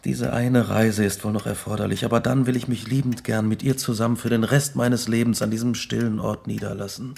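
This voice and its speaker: Brooding, gravelly young scientist. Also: male voice, fairly low pitch, fairly even intonation, brisk, hardly any pauses, darkish tone. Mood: subdued, pleading. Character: tormented, guilt-ridden young scientist recounting his downfall. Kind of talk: German radio drama acting, narration and dialogue.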